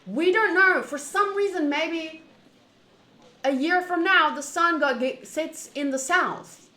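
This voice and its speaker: Female game streamer, bright voice, opinionated casual rambling, recorded in an echoey room. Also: very high-pitched, slow pace. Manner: animated, opinionated, wandering, often rephrasing mid-thought. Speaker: streamer playing a visual novel. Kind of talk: casual rambling chat with viewers during a game playthrough. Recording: echoey room, faint background noise.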